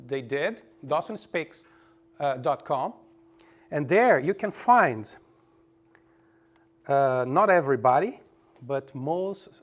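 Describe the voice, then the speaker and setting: Presenter at a language event, a man with a somewhat high voice, casual and full of restarts. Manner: loose, repetitive, many restarts. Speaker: conference presenter. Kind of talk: presentation talk with audience quiz.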